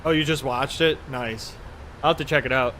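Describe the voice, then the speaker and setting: A man's voice: medium pitch, normal speed, fairly bright tone, a little hiss or hum. A man live streaming a flight simulator in casual chat, rambling in an offhand, mildly profane way.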